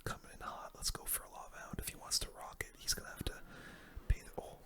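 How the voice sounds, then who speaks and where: A gaming host delivers ASMR commentary entirely in a soft, breathy whisper. The play-by-play is casual and hushed, like thinking aloud through each move. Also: fast.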